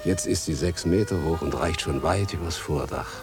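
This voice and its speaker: A man's voice: low-pitched, normal speed, over music. An actor playing a wistful fifty-year-old farmer and father in a radio play, ruminative, earthy and plain-spoken. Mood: wistful, brooding. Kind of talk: German radio drama acting.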